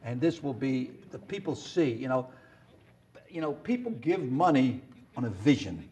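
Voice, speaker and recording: An older man hosting a local cable interview show, firing quick questions and rambling into asides on a lapel mic in a small studio. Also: medium pitch, very animated, dark tone, slight background noise. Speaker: talk show host. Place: small studio with wood paneling.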